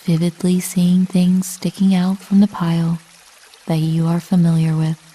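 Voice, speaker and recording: Very slow, low-voiced woman guiding sleep meditation. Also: poor audio. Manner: calm, soothing, measured with long pauses. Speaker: guided meditation narrator. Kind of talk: scripted sleep meditation narration, bedtime story.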